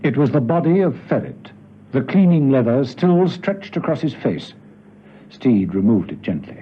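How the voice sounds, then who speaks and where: A man's voice: medium pitch, sing-song, normal speed. A man reading scripted narration for a radio drama. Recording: dull radio recording with music. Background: clear music.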